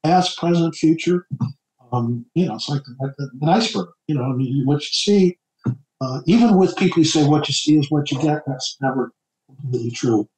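A middle-aged man from New Jersey, chatting as a podcast guest in a halting way and often stumbling over repeated words. His voice sits a little high for a man. It is recorded in an echoey room. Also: animated, darkish tone. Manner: halting, with many stammered repeats. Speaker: author guest. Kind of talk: casual podcast chat.

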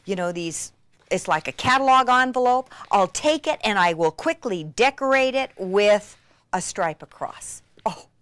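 A woman giving an excitable, gushing craft demonstration, with breathy exclamations and words repeated for emphasis. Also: medium pitch, a little slow, no echo. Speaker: craft instructor demonstrating techniques. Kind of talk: enthusiastic hands-on craft demonstration.

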